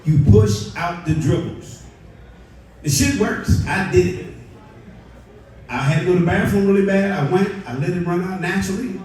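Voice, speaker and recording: A man's voice: high-pitched, moderate pace, pauses often, darkish tone. A man hosting a live comedy show, telling a crude story and working the crowd. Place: comedy club at a bar and grill. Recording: echoey club recorded from far off.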